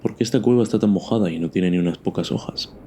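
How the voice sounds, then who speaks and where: Man acting a mellow-voiced, questioning young soldier. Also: low-pitched, fast, a little hiss or hum, close to an everyday voice. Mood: puzzled. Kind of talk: amateur audio drama acting.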